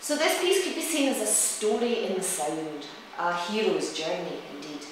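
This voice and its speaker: A woman presents a music lecture in a reflective, storytelling way, explaining as she goes. She is recorded at some distance from the microphone in a highly reverberant room. A faint layer of noise sits under her medium-pitched voice. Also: animated, moderate pace. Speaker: presenter, cellist and music teacher. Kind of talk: spoken lecture-demonstration on music.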